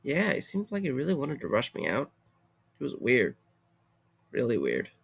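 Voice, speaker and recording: Audio drama lead , male actor: wry, tired young man, mid-pitched with fry, expressive, rambling and easygoing. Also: moderate pace, exaggerated voice. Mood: musing.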